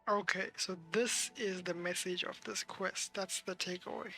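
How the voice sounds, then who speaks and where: A woman's voice: medium pitch, steady tone, moderate pace, gravelly, sharp tone, faint music behind. A woman streaming a video game, casually reacting and commenting as she plays.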